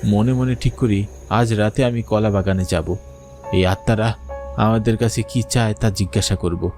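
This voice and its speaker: A man's voice: fairly low pitch, fairly even intonation, normal speed, a little mellow, faint music behind, lightly acted. A man playing a frightened young university student, narrating a horror cartoon in a suspenseful, dramatic first-person style. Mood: fearful, suspenseful. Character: frightened young male university student telling his ghost story.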